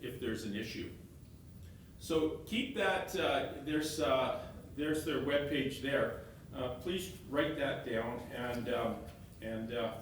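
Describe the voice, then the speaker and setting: Loud man in echoey hall. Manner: halting, repeats words and restarts phrases. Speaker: elected county councillor. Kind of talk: talk presenting slides to a meeting. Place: meeting room, stepping back from a fixed mic. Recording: very echoey room, heard from a distance, faint rumble.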